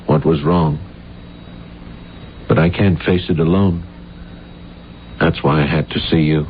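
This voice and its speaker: An actor playing an earnest, halting, guilt-ridden failed playwright in a 1970s radio drama. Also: male voice, extremely low pitch, fairly even intonation. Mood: bitter, troubled. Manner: halting, intense, stammering when flustered. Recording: dull, noisy old radio recording with hum.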